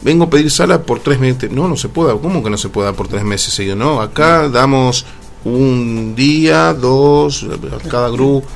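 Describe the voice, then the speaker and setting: A man's voice: mid-range voice, normal speed, vocal fry, soft background music. A man with a Rioplatense Argentine accent, hosting a casual radio interview in an agreeable, conversational way. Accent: Rioplatense Argentine Spanish. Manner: agreeable, interjects short affirmations.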